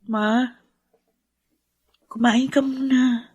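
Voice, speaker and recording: A woman's voice: a little high, fairly even intonation, very slow, darkish tone, breathy edge, dry recording, muffled recording, full character voice. An actress playing a worried daughter in a radio drama, pleading and tearful as she comforts her mother. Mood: gentle pleading.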